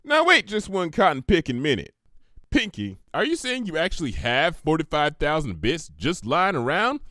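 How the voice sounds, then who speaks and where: A man's voice: on the high side, big pitch swings, moderate pace, bright, forceful tone, no room sound, clean studio sound, pitched above the performer's own voice. A man voicing an earnest, plain-spoken female farm pony. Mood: skeptical. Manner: folksy, emphatic.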